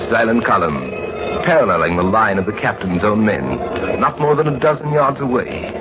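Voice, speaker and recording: Low male old-radio narrator over music. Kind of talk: old-time radio dramatic storytelling. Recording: muffled old radio recording. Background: prominent music.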